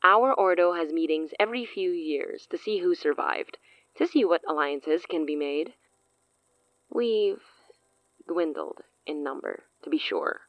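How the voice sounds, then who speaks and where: An actress playing a cunning, self-assured sorceress in an audio drama, speaking in a theatrical, silky, condescending way. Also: female voice, deep voice, expressive, measured pace, dry recording, dull audio. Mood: poised, persuasive. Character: cunning, self-assured woman sorceress offering a dangerous pact.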